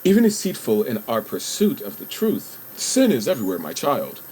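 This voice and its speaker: A man's voice: medium pitch, wildly swooping pitch, normal speed, a little mellow, a little hiss or hum, rough recording. An actor playing an earnest, anxious young man in a radio play, hesitant and easily flustered. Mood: flustered, bluffing. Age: young adult.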